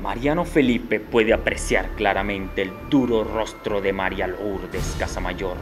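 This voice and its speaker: Low-voiced male radio drama narrator. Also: measured pace. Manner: solemn, dramatic. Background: guitar music.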